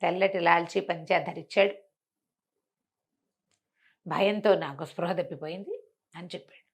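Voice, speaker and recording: Expressive woman telling a village bedtime tale. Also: fairly low pitch, normal speed, breathy edge. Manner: expressive, acts out villagers' exclamations and asks rhetorical questions. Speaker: storyteller. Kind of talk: oral bedtime storytelling, conversational.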